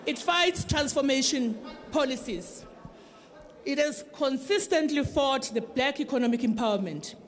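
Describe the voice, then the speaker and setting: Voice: high-pitched, a little slow. A political representative with a South African accent speaking emphatically and combatively in a public debate. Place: large public town hall event. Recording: crowd noise at live event.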